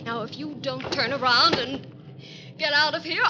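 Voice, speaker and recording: A woman's voice: sits high, normal speed, highs cut off, background noise, natural voice. A woman playing a proud, emotional young society lady in an old radio melodrama, dramatic and imperious. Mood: indignant, icy. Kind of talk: 1940s-50s radio melodrama acting.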